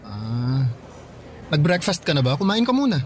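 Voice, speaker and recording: Highish-voiced young college man. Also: sing-song, moderate pace, slight background noise. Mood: caring, casual. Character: young male college student, caring, easygoing boyfriend. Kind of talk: radio drama acting.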